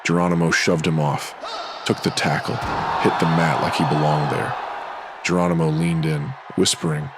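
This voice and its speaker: A man narrating a horror anthology in an ominous, measured pulp radio-drama style, in short dramatic phrases. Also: rumbling low, level tone, very noisy. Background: music.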